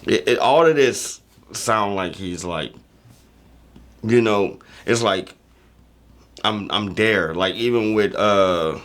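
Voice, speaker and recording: A man chatting casually and reflectively as a reaction show host, halting often as he searches for words. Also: fairly low pitch, fairly bright tone.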